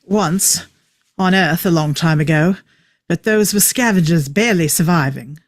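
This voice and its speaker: A man's voice: fairly high pitch, a little slow, no room sound, close to an everyday voice. A man reading the part of a clever, eccentric time traveller at a table read, explaining things with wry emphasis. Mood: serious explaining.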